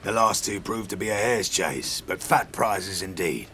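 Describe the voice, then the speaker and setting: A ruthless pirate captain with a low, forceful voice. He commands in salty old seafaring talk with wry menace, in an audio drama with clear ambient noise behind him. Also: lively intonation, measured pace, heavily acted. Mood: wry, commanding. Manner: archaic seafaring speech, commanding. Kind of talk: full-cast audio drama acting.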